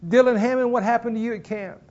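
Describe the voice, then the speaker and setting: A man's voice: extremely high pitch, fairly even intonation, quick pace, a little mellow, lo-fi sound. A middle-aged man, preaching a sermon as pastor in folksy storytelling fashion. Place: church.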